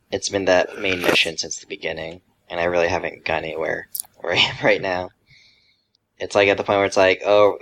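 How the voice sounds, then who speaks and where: A man's voice: sits low, bright, forceful tone. A man speaking as a podcast co-host in casual, informal conversation.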